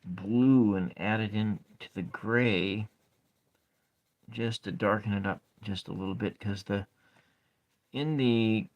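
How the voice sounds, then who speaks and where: A calm, soft-spoken man with a fairly low voice talks very slowly during a relaxed ASMR art stream. He chats quietly with viewers and meanders between thoughts as he colors, often trailing off and picking the sentence back up. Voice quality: calm speaking voice. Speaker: streamer drawing and coloring tarot cards.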